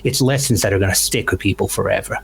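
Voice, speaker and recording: Man playing earnest low-voiced adventurer, faint hum. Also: fast, gravelly. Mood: earnest, reflective.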